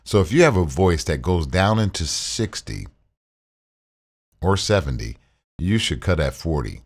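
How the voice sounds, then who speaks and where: A man with a deep baritone voice giving a relaxed, rambling gear walkthrough into a studio condenser mic in a home studio. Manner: relaxed, repetitive, uses fillers. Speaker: tech reviewer. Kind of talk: casual tutorial with screen share.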